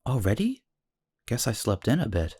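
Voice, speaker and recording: A man's voice: mid-range voice, sing-song, moderate pace, darkish tone, lightly acted. A man playing a wry, aloof young private investigator in a fan audio drama, with a sardonic manner. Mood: wry, groggy. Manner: sardonic, dry-witted. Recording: long reverb, faint music.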